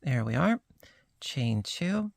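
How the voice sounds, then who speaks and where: A slightly hoarse crochet teacher walks through each stitch at a slow, unhurried pace. The voice has a gravelly fry and sits dry and close, with no room around it. The delivery stays calm and patient throughout. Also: medium pitch, dry recording. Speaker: crochet instructor. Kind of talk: step-by-step crochet tutorial.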